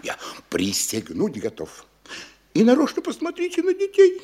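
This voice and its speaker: An actor playing a fawning, sly official who gossips and tells tales in an obsequious way in a classic radio play. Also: male voice, very high voice, huge pitch swings, moderate pace, mellow, exaggerated voice. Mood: tattling. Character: fawning middle-aged male official, sly telltale.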